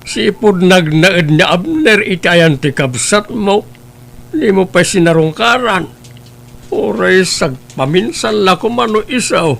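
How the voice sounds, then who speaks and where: A man voicing a stern elderly father who reproaches his grown daughter, speaking slowly and weightily in a family radio drama. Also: high-pitched, animated, creaky, a bit bright, full character voice. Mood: scolding. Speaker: voice actor.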